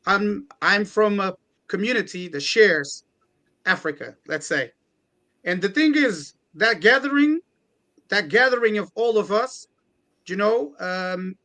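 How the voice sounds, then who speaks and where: A man's voice: high-pitched, fairly bright tone. A man, a DJ and event organiser, talking earnestly and reflectively in a panel discussion and often restarting phrases. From Portugal.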